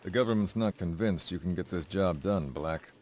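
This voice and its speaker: A man acts a gruff army major in a game, with a very low voice. He is skeptical and demanding, with faint outdoor ambience behind him. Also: normal speed, a little mellow, slight background noise, natural voice. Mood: stern. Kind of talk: video game cutscene acting.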